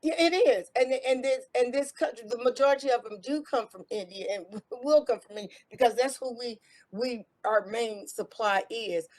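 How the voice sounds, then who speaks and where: A middle-aged woman from America with a slightly high voice, talking through her points in a loose, casual way. She stumbles into repeated words and restarts, then pushes on. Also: moderate pace, poor audio. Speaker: guest, former IT contractor. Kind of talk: casual interview talk.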